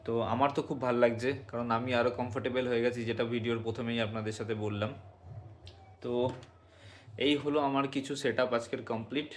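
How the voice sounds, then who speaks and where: A man's voice: mid-range voice, steady tone, fast talker, slight background noise. A man giving a casual hands-on tutorial, explaining step by step and speaking directly to viewers. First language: Bengali. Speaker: motovlogger and product reviewer.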